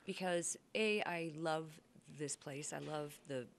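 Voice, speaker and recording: A woman's voice: low-pitched, slow pace, gravelly. A woman over sixty, a grandmother and actor, chatting as an interview guest and telling stories with acted-out quotes. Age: older. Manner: chatty storyteller, acts out quotes. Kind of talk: casual interview chat.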